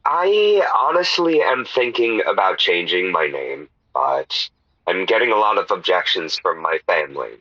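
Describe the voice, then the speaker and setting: A man's voice: low voice, big pitch swings, measured pace, sharp tone, no room sound, full character voice. A man playing a put-upon, hesitant caller on a comedy radio advice show, telling his troubles plaintively through a dull lo-fi line. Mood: aggrieved, sheepish. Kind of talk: improvised comedy radio call-in.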